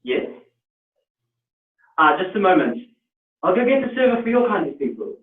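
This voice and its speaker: A man's voice: high voice, sing-song, normal speed, distant mic, voice pushed up. A man voicing a smug, condescending restaurant waiter in a put-on South African accent. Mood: smooth, courteous. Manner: smooth, courteous, condescending. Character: polite male restaurant waiter, smug and dismissive. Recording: tinny, echoey room from afar.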